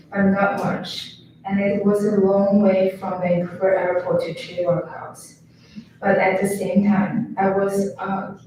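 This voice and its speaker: A woman's voice: mid-range voice, dark tone. Chinese-accented woman reading in a hall. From China. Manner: careful, halting reading with restarts. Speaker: student storyteller. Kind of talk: reading a personal travel story on stage. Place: large hall on stage. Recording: echoey hall from far off, faint noise.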